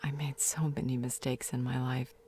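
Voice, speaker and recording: A woman playing a grieving, tender fae mother in an audio drama, sorrowfully confessing her regrets in halting, half-whispered speech. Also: a bass voice, flat delivery, warm tone, a little hiss or hum. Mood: sorrowful, apologetic. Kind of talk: dramatic audio roleplay monologue.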